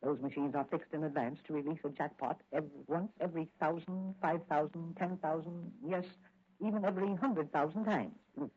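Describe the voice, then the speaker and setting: An actor playing a learned older doctor and advisor in a 1940s radio drama, explaining things in a deliberate, pedantic way with small hesitations. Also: male voice, on the high side, slightly rough. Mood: emphatic, precise. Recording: tinny, scratchy 1940s radio recording.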